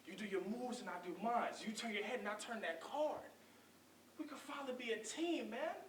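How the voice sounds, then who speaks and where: A man performing an eager, scheming hustler in a theatrical monologue, talking persuasive, excited street talk. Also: extremely high pitch, a little fast, a little hiss or hum, voice pushed up. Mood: excited, urging. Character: eager, scheming, smooth-talking hustler pitching his brother. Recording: echoey room from distant mic.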